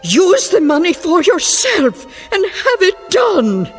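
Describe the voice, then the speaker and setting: An actress playing a gentle, self-sacrificing elderly wife in a radio drama. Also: female voice, extremely high pitch, big pitch swings, takes it slowly, heavily acted. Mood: earnest, selfless. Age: older. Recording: long reverb, faint music bed.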